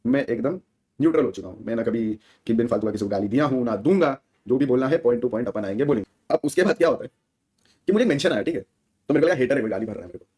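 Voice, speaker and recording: A man's voice: medium pitch, lively intonation, quick pace, dark tone. A man speaking as a gaming streamer in a casual, slangy rant to viewers, often seeking their agreement. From India.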